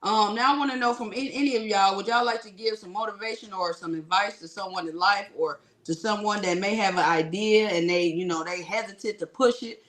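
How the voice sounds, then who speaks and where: A woman's voice: medium pitch, fairly quick, hardly any pauses, a bit bright, poor audio. A woman in her thirties hosting a casual group talk, speaking in an encouraging, slangy way. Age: 30s. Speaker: podcast host and cast member.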